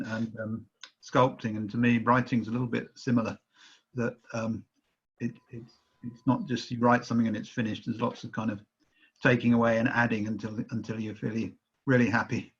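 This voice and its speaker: A male writer chatting tentatively and haltingly in a spontaneous discussion on an online call. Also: mid-range voice, level tone, a bit bright, slightly rough, dull audio.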